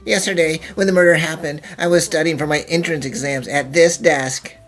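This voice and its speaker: A woman voicing a fearful, nervous young student witness in an animated fan dub. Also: low-pitched, brisk, lightly acted. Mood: explaining. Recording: music under the voice.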